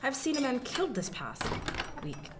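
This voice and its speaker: Actress as a defiant young gentlewoman over noisy ambience. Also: high voice, very animated, moderate pace. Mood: indignant, insistent. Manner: formal, emphatic period diction. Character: defiant well-born eighteen-year-old woman, formal, bitter, resolute. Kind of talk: period radio drama acting. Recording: clearly noisy, ambient sound behind.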